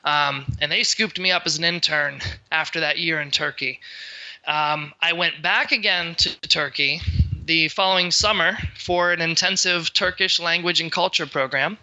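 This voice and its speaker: Young man, podcast guest, very bright tone, slightly high voice, chatty, lots of fillers. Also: normal speed, lo-fi sound. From Pennsylvania. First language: English. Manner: chatty, many fillers, digressive explanations. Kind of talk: casual storytelling interview.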